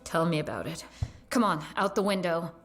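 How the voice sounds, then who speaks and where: A woman's voice: lowish voice, normal speed, fairly bright tone, soft background music, natural voice. An actress playing a weary, irritable woman plagued by ghosts in an audio drama. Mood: exasperated, urgent.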